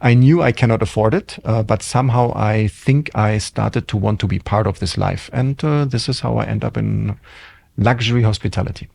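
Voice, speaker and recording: A man's voice: lowish voice, moderate pace, vocal fry, a little mellow. A middle-aged man with a German accent, a hotel general manager telling his career story in a podcast interview, reflective and full of ums and restarts.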